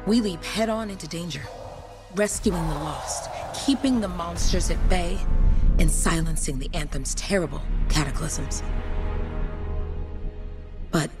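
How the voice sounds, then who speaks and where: A woman's voice: lowish voice, unhurried, pauses often, close to an everyday voice. A woman voicing a solemn storyteller in scripted, dramatic game prologue narration. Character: solemn female storyteller recounting heroes' lore. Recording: loud music behind the voice. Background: loud music.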